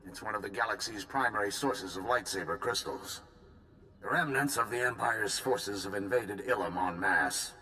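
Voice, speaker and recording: A stern military commander briefs a hero with formal urgency. His voice sits low yet bright and forceful. A faint rumble hums behind him. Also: normal speed, poor audio. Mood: earnest. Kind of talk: video game cutscene acting.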